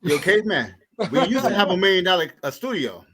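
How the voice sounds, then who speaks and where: A man's voice: sits high, very animated, fast talker, crowd noise. A man in his forties co-hosting a podcast, cracking crude jokes and swearing. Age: 40s. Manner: crude joking, swearing and laughing. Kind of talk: casual chat.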